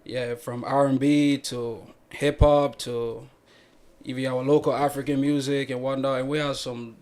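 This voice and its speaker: A man's voice: mid-range voice, flat delivery, a little slow, darkish tone, clean tone. A young man from Ghana, a musician and guest on a podcast, talking in a laid-back, easygoing way and laughing often. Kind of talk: casual podcast chat.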